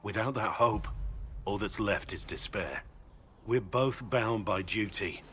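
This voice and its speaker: Low deep gruff honourable warrior, game cutscene, earnest and weighty, some background ambience. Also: male voice, slow, bright, forceful tone, a little hiss or hum. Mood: grave, resigned. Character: brooding, honourable, bearded middle-aged warrior, gruff but tender. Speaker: video game character voice actor. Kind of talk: dramatic game dialogue.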